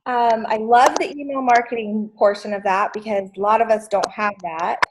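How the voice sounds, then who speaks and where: A woman's voice: mid-range voice, steady tone, moderate pace, slight background noise, low-quality recording. A woman speaking casually as a team member on a group video call, giving appreciative remarks.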